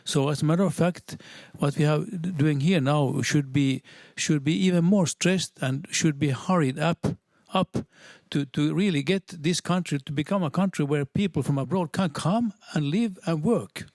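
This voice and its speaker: Native Swedish speaker, a man, audience comment in English; earnest, halting delivery, close dry sound. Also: fairly high pitch, a little mellow, no echo. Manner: halting, many restarts, earnest and persuasive. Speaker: audience member at a seminar. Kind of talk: spontaneous public comment.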